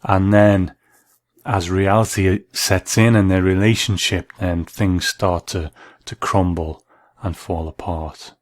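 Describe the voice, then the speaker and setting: Low-voiced man, dry studio commentary. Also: steady tone, slow pace, no room sound. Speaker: narrator reviewing classic television drama. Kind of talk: scripted commentary.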